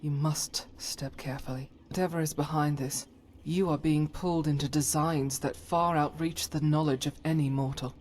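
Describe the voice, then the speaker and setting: A woman's voice: deep voice, fairly even intonation, normal speed, few pauses, darkish tone, slight background noise, close to an everyday voice. A woman playing a devout, stern warrior companion in a fantasy game, speaking solemnly in formal, archaic phrases. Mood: grave, wary.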